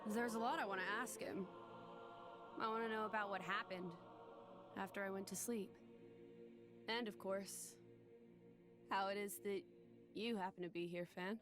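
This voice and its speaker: Guarded young game heroine, played by an actress: proud, blunt, medium pitch, faint score underneath. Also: female voice, steady tone, moderate pace, long pauses, lightly acted. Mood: guarded, serious. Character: proud, guarded young woman, an ancient living weapon. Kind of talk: video game cutscene acting. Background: faint music.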